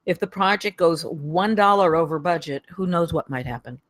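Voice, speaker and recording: A man's voice: a little high, moderate pace, a bit bright, lightly acted. A man reading the part of a weary, apologetic middle-aged studio executive at a screenplay table read. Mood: grave. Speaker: actor at a live table read.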